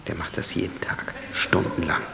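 An actor playing a cool, secretive man in a radio play, with a low-key, clipped, knowing delivery. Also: normal speed, whispered, close to an everyday voice. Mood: conspiratorial.

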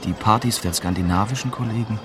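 An actor playing a young, wry German reporter, narrating a radio drama in a dry, matter-of-fact way. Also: male voice, on the low side, fast talker, over loud music, close to an everyday voice. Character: young, wry male German newspaper reporter recounting his story. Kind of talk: scripted first-person radio drama narration.

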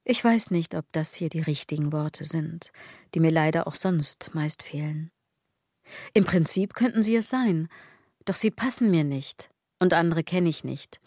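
Low-voiced sardonic, musing woman. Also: unhurried, a little mellow, no room sound, studio quality. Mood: wry, reflective. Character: musing, sardonic female speaker addressing the listener directly. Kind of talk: literary radio drama monologue.

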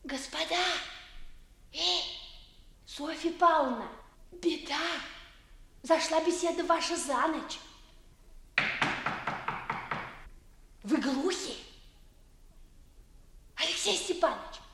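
Pert young housemaid, very high voice, unhurried verse delivery, theatrical exclamations, old radio play. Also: female voice, vocal fry, noisy background, heavily acted. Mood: exasperated. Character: pert, quick-witted young maidservant in a noble house. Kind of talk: 1950s Russian stage-style radio comedy in verse.

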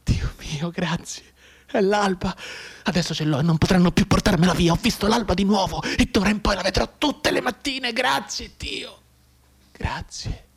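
A man playing a bedridden wounded soldier in a radio drama monologue, intense and soliloquising, with a breathy voice that can drop into whispers. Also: sits high, moderate pace, exaggerated voice. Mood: ecstatic, desperate. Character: bedridden wounded young soldier, feverishly counting time.

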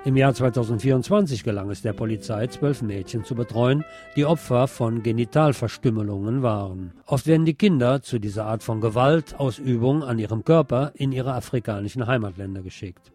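A man presenting radio news, reading from a script in a measured bulletin style. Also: medium pitch, warm tone, soft background music.

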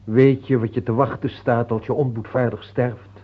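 An actor in a radio play playing a stern, devout priest, solemn and exhorting. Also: male voice, mid-range voice, flat delivery, moderate pace, breathy edge, lightly acted. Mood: solemn, firm. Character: stern devout male priest counselling a dying king. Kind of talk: Dutch radio play acting. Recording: muffled, tinny old radio recording.

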